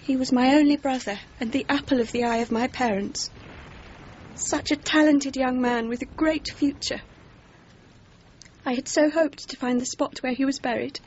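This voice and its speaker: A woman's voice: normal speed, darkish tone, natural voice. An actress playing a well-bred young Englishwoman in grief in a radio drama, earnest and genteel, with an English accent. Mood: wistful, disappointed. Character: grieving, well-bred young Englishwoman, polite but distraught. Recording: slightly muffled, faint rumbling noise.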